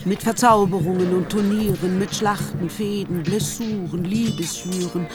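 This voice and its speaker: An actress as the composed, wry narrator of a literary radio drama. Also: female voice, medium pitch, steady tone, normal speed, music in the background, close to an everyday voice.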